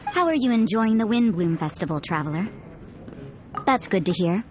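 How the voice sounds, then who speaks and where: A woman's voice: medium pitch, expressive, normal speed, darkish tone, a little hiss or hum, lightly acted. A woman voicing a cool, aloof young nun in a video game, dry and sardonic in delivery. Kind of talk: English video game voice acting. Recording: music playing behind the voice.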